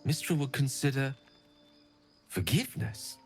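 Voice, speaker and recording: A man's voice: medium pitch, measured pace, soft background music, natural voice. An actor playing an urbane, impatient younger male wizard in a video game, articulate and wry. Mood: impatient, incredulous. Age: young adult. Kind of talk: video game character acting.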